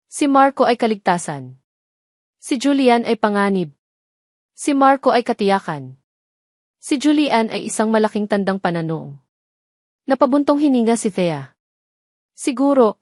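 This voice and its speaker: A Filipino woman narrates a romantic drama in a measured, theatrical storytelling style. Her voice sits at a medium pitch. The recording is close and very dry, with no room sound. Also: darkish tone, dry recording.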